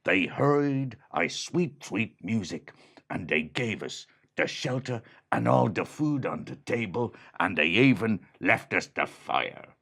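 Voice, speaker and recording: A man voicing a kindly, gruff guard dog in a husky, steady storybook voice. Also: lowish voice, slow, heavily acted.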